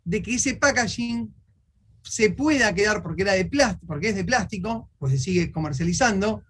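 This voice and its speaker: A man's voice: high-pitched, lively intonation, moderate pace, fairly bright tone, slight background noise. A man with an Argentine accent interviewing a guest in a conversational way.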